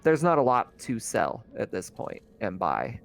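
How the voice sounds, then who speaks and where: A man's voice: on the low side, very animated, measured pace, vocal fry. A man narrating a tabletop roleplaying game as game master, in a casual, conversational, improvised way. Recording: music under the voice.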